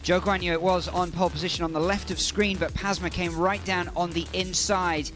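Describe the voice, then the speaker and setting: A man giving live motorsport commentary as the race commentator, brisk and informative, leaning into the key moments. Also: high-pitched, little pitch movement, a bit bright, soft background music.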